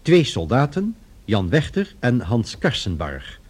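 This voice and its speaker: A male radio announcer reads credits formally. The voice is husky and mid-pitched, with varied intonation. The delivery is measured and unhurried. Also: huge pitch swings, darkish tone.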